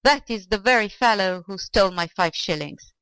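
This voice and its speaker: A woman's voice: mid-range voice, expressive, moderate pace, fairly bright tone, clear, resonant tone, no echo, lightly acted, low-quality recording. A woman voicing a stingy, whining and vengeful old man from a storybook, exclaiming and pleading. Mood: indignant, vengeful. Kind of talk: storybook character voice.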